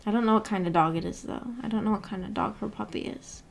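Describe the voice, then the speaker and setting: A woman hosting a book club livestream, chatting casually and replying to viewers in a giggly, rambling, unhurried way. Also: medium pitch, steady tone, vocal fry. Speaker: livestream host of a visual novel book club.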